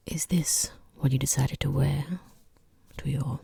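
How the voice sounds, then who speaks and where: A woman playing a rude, bored, condescending boss in a softly spoken, mostly whispered ASMR roleplay, close to the microphone, with snide, deliberate delivery. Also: extremely low pitch, flat delivery, no room sound, exaggerated voice. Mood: coolly disapproving. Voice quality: breathy, husky whisper.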